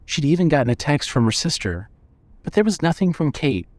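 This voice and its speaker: A man's voice: on the high side, sing-song, a little fast, darkish tone, no room sound. A man narrating a scripted story as the narrator of an audio drama.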